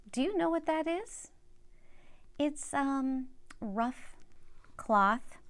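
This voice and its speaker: An older woman reads a children's Bible story aloud, speaking directly and simply to young listeners. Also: fairly high pitch.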